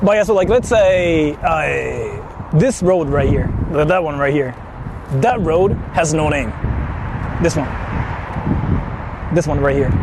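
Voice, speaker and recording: Man, higher voice, casual slangy livestream chat, clear car and street noise. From Japan. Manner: colloquial, slangy, explaining things to viewers. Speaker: livestream host. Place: inside a moving car in a city. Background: car and urban traffic noise.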